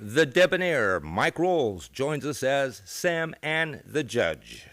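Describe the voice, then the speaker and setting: A man's voice: mid-range voice, big pitch swings, takes it slowly, a bit bright, slight background noise. A man announcing in scripted old-time radio style, with grandiose, theatrical showmanship. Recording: live theater show with music.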